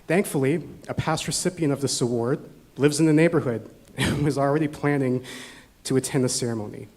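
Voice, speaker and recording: A man hosting a book awards ceremony, giving steady, scripted remarks read from notes. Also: medium pitch, a little fast. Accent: Canadian.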